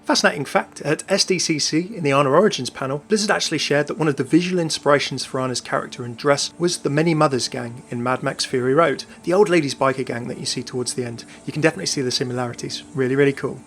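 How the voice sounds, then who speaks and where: A man's voice: medium pitch, fast, hardly any pauses, a bit bright. A man presenting scripted video game lore commentary in a chatty, enthusiastic manner.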